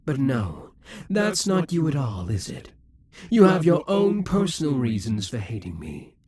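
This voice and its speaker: A man's voice: medium pitch, huge pitch swings, a little slow, darkish tone, husky, dry recording, full character voice. A man voicing a proud, possessive male demon king who taunts and schemes, sometimes dropping to a whisper. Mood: taunting. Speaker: voice actor in an audio roleplay. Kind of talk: audio roleplay acting.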